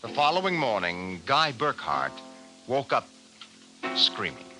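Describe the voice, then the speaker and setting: An actor playing an ominous narrator of a 1950s radio sci-fi mystery, speaking dramatically and deliberately. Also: male voice, medium pitch, big pitch swings, rough recording. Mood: dramatic, suspenseful. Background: loud dramatic music.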